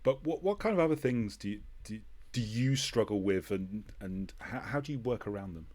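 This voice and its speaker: A man's voice: fairly low pitch, animated, moderate pace, a little mellow. A man hosting a casual interview, feeling his way through questions and repeating words as he goes. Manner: tentative, repeats words while forming questions. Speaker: host, interviewer.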